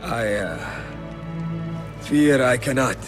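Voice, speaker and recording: An actor playing a badly wounded, loyal assassin, halting and earnest, in a game cutscene. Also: male voice, medium pitch, animated. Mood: regretful. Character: wounded, loyal assassin brother, stoic and principled. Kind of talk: dramatic video game cutscene acting. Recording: film-score music behind the dialogue. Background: dramatic background music.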